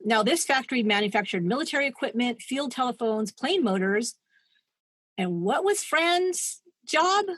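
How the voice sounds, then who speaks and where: A woman's voice: a little high, expressive, normal speed, a bit bright, dry recording. A woman co-hosting a podcast, retelling a true-crime story in a chatty, digressive way and laughing at her own asides. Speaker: podcast co-host.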